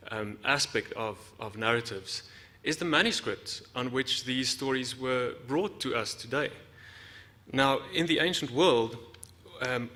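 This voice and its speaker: Bright-voiced male lecturer in a reverberant hall. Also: medium pitch. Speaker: academic researcher giving a talk. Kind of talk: prepared public lecture.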